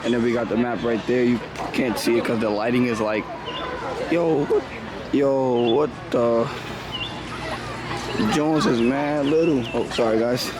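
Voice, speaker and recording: A man, hoarse from losing his voice, casually vlogging his walk around a city zoo in laid-back slang. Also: mid-range voice, fairly even intonation, measured pace, long pauses. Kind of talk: casual vlog narration.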